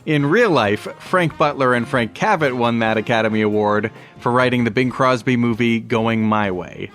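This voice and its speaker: A man's voice: medium pitch, moderate pace, a bit bright, faint music behind. A man hosting a podcast, reading a scripted introduction. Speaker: podcast host.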